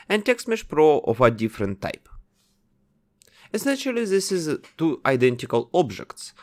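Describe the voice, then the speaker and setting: A man narrating a step-by-step software tutorial, explaining each action methodically as he goes. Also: medium pitch. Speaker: tutorial host.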